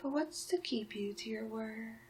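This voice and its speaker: A woman playing a sly, menacing town witch in a soft-spoken, whispered ASMR roleplay, murmuring deliberately. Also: slight background noise, close to an everyday voice. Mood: menacing, coaxing. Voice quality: soft, breathy whispering mixed with low-volume speech.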